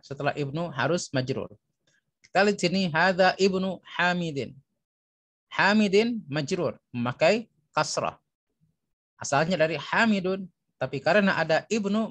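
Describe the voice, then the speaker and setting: Indonesian man teaching, slightly high voice. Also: a bit bright, no room sound. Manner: instructional, repeats phrases for learners. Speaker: Arabic language teacher. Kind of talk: online lesson, explaining and reading aloud.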